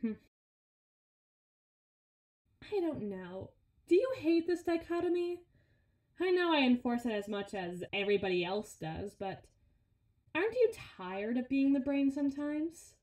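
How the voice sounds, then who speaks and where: A woman plays a brawny henchwoman for a villain, speaking in a highish female voice. She is sardonic and conversational, grumbling and teasing as if to a partner at her side. It is an intimate audio roleplay, recorded with a clearly echoing, roomy sound. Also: sing-song, normal speed, darkish tone. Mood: weary, earnest. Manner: sarcastic, conversational, dramatic sighs and grumbles. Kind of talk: ASMR audio roleplay, intimate one-sided dialogue.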